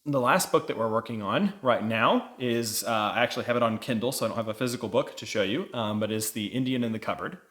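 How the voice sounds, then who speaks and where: A man's voice: medium pitch, quick pace, few pauses, a bit bright. A man giving a casual, conversational book review, explaining things as a vlog co-host.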